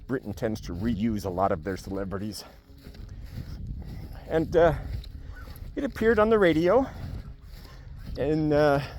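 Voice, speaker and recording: Guy doing a casual unscripted review vlog, kinda rambly n jokey, dry wit, pitch goes up and down a lot. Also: darkish tone, a little hiss or hum. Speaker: video blogger giving a book review. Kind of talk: spontaneous casual talk to camera. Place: on a farm among cows.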